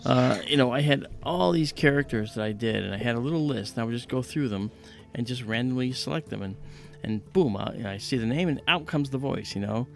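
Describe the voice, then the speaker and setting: A man with a deep voice from a damaged vocal cord, hosting a livestream in casual, explanatory chat with animated storytelling. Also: a little fast, creaky, faint music behind. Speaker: livestream host and astronomy presenter.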